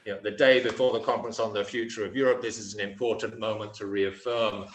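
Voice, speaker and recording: A man giving a relaxed, conversational speech at a conference. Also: fairly low pitch, fast. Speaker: conference speaker. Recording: echoey room.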